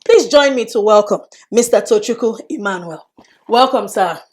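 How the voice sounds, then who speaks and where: A woman's voice: medium pitch, animated, normal speed. A woman hosting an interview show, friendly and affirming, often repeating words of praise. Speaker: talk show host.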